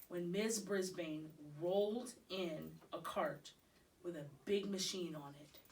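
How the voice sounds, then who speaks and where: A woman reading a children's novel aloud expressively, phrase by deliberate phrase. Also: fairly low pitch, creaky, a bit bright, far from the mic, rough recording. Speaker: storybook reader.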